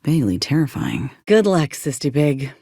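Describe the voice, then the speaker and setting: A woman's voice: low voice, very animated, normal speed, warm tone, husky, no room sound, clean studio sound, exaggerated voice. A woman playing an earnest, plain-spoken young frontier soldier in a video game, with a blunt, folksy, principled manner. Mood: earnest, concerned. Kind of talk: video game character voice acting.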